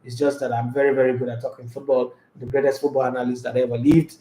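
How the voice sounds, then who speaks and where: A man's voice: mid-range voice, level tone, fast talker, mellow. A man with a Nigerian accent hosting a sports talk show, chatty and boastful, with pidgin asides. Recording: roomy echo.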